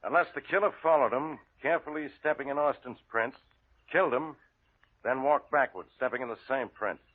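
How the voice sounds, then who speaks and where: An actor playing a gruff, irritable police inspector who is easily needled, in 1940s radio style. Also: male voice, mid-range voice, brisk, close to an everyday voice. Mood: probing, puzzled. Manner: blunt, exasperated. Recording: muffled, noisy old radio recording.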